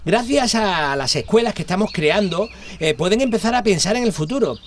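Earnest, high-voiced idealist brother. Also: male voice, lively intonation, fairly quick. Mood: upbeat, earnest. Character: earnest, idealistic brother, aid worker in the jungle. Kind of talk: radio play acting. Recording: faint noise, jungle birdsong.

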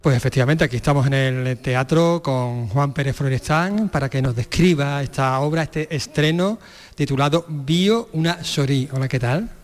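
A man reporting culture news on radio in a brisk, informative way. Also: medium pitch.

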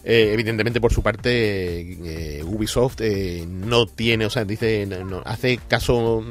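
Low-voiced man for casual gaming-news chat, lots of fillers, light music bed. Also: takes it slowly. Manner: chatty, hesitant, many asides and fillers. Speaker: radio co-host.

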